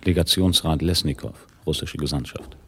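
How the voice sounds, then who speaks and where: A man's voice: a bass voice, normal speed, fairly bright tone, a little hiss or hum. An actor playing a polite, persistent reporter in a German radio play, formal and courteous as he probes.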